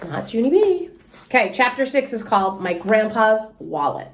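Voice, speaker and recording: A woman's voice: fairly high pitch, sing-song, normal speed, a little hiss or hum. A woman speaking as a teacher doing a storybook read-aloud, with asides and questions for the children. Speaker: teacher reading aloud to students.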